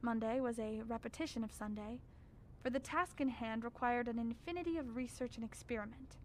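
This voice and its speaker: Woman acting a grave, ominous scholar, higher-pitched voice. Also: a little hiss or hum, lightly acted. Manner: formal, measured, ominous. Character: grave, dread-filled scholar Dr. Armitage recounting horrors. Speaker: actor narrating. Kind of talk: radio drama narration as a written record.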